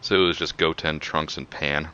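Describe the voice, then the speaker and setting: A man's voice: rumbling low, fairly even intonation, normal speed, sharp tone, slight background noise. A man co-hosting a casual commentary in a matter-of-fact explaining manner, on a slightly dull microphone. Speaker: podcast co-host. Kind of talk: casual chat, retrospective commentary.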